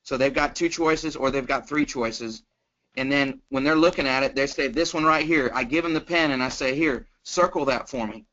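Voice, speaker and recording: Bright, forceful folksy salesman, dull call audio. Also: normal speed. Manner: plain-spoken, folksy, acts out sample pitches. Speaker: guest sales trainer on a conference call. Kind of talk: casual sales coaching. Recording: dull, lo-fi conference call line.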